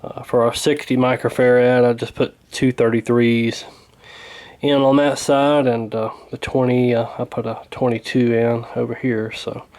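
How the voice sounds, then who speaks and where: A man's voice: medium pitch, little pitch movement, normal speed, a little mellow. A man talking casually and hesitantly through a hands-on repair, in a folksy, unscripted way. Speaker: radio repair hobbyist, presenter.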